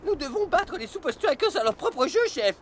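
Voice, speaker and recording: A high-voiced cartoon underling. He is eager and nervous, addressing his superior with deferential military formality. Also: very animated, normal speed, a little hiss or hum. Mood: dutiful. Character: eager, nervous subordinate soldier-like football player. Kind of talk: French-dubbed children's cartoon acting.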